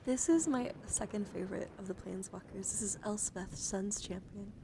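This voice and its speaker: A woman streamer speaking softly and playfully in an ASMR style, close to the microphone, her breathy voice often dropping into a whisper. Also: mid-range voice, moderate pace, rough recording. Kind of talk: soft-spoken ASMR card showcase. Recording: clear background noise.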